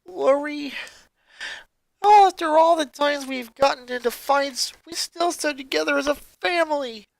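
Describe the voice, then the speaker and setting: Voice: high-pitched, unhurried, vocal fry, pitched above the performer's own voice, poor audio. A story reader voicing a passionate, emotional teenage rocker girl with emphatic, wounded pleading. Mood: anguished.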